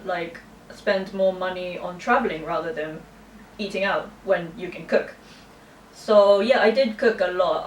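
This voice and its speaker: A woman's voice: medium pitch, roomy sound, recorded from a distance, poor audio. A young Japanese woman in her twenties, chatting casually as a vlogger with a Japanese accent tinged with British, often using "like". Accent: Japanese, with British touches. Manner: chatty, frequent "like" fillers. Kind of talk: casual Q&A vlog.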